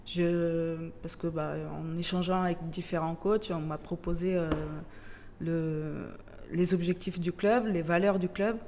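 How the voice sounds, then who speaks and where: Woman, Portuguese accent, football coach, halting speech, reverberant room, faint noise. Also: lowish voice, fairly even intonation, mellow. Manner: plain, halting, many fillers. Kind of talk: casual interview answers.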